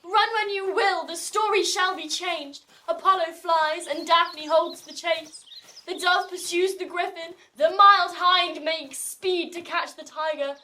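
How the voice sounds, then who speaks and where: Shakespearean heroine, female actor: lovesick, stubborn young woman, impassioned, piercingly high and bright. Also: steady tone, measured pace, exaggerated voice. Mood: desperate, defiant. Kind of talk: classical Shakespearean verse acting.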